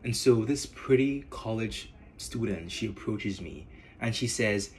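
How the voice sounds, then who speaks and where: A man from Nigeria with a Nigerian accent, hosting a podcast in measured, earnest scripted narration. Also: fairly low pitch, expressive, a little mellow. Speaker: podcast host.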